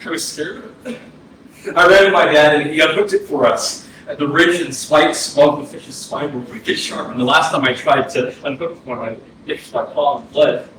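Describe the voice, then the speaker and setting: A man's voice: a little high, normal speed, a bit bright, lightly acted. An actor playing a wistful, bitter dead young man in a staged play reading. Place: arts center stage. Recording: very echoey hall, distant, faint noise.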